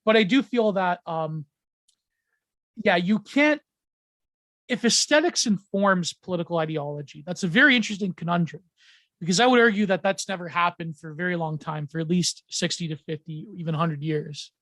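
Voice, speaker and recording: A man with a high voice talks as a podcast guest. He rambles and restarts, with plenty of ums. The sound is dry and close. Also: moderate pace, a bit bright, no echo. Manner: rambling, many ums and restarts, laughs often. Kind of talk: casual podcast chat.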